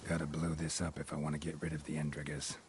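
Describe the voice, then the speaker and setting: An actor playing a gruff, weary male monster hunter in a video game, speaking in curt, terse lines. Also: rumbling low, flat delivery, fast, a little hiss or hum. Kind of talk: video game character dialogue.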